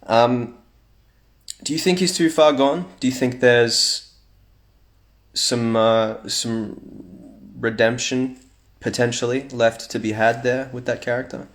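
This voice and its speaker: A man's voice: medium pitch, slow pace, pauses often. A young man chatting casually on a phone livestream at home, reading fan comments aloud with playful asides.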